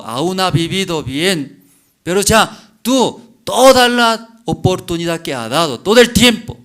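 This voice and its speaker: A male pastor delivering a sermon, constantly asking the congregation rhetorical questions and checking in with a quick "mm?". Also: high-pitched, a bit bright.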